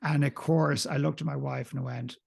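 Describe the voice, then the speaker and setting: An Irish man in his forties talks earnestly, his voice gravelly with a husky grain. He is a guest on a video call, speaking into a desk mic from a room at home. He is animated when telling stories. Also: mid-range voice, brisk. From Ireland. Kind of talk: reflective interview conversation. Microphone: desk condenser mic, video call.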